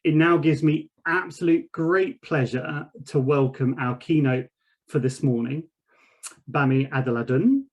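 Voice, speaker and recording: A man's voice: on the high side, measured pace. A man hosting a company webinar, giving formal, scripted welcome remarks. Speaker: webinar host.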